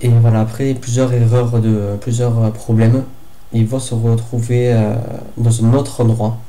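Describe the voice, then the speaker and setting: A young man from France talks through a casual book review, stuffy from a head cold. His voice is very dark and mellow and stays flat in pitch. He hesitates often, filling gaps and restarting his sentences. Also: measured pace. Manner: hesitant, lots of fillers and restarts. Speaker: book vlogger.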